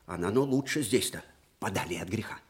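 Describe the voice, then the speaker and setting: Actor as proud, fiery old field marshal, animated. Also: male voice, on the low side, brisk, natural voice. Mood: musing. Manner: theatrical, emphatic, with repeated phrases. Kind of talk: Soviet-era radio drama acting.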